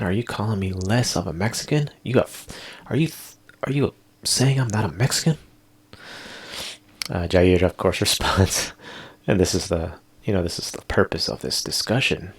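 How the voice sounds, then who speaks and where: A man hosting a casual solo podcast, reading tweets aloud and rambling through his comments with laid-back asides. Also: sits low. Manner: laid-back, rambling, chuckling asides, lots of "dude" and "you know". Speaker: podcast host.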